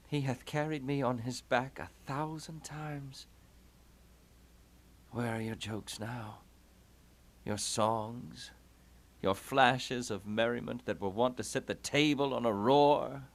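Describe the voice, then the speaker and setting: A man playing a thoughtful, melancholy young prince in a puppet-show Shakespeare, speaking in a measured, theatrical way. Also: medium pitch. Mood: wistful, mournful.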